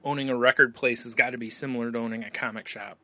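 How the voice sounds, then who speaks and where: A man's voice: medium pitch, fairly even intonation, fast, pushed and bright, no echo. A man co-hosting a podcast in casual, joking chat with some swearing and frequent chuckles. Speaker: podcast co-host.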